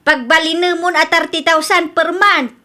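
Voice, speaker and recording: A woman's voice: extremely high pitch, steady tone, normal speed, bright, forceful tone. A woman playing a greedy, gambling older mother in a comedy radio drama, blunt, nagging and insistent. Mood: demanding.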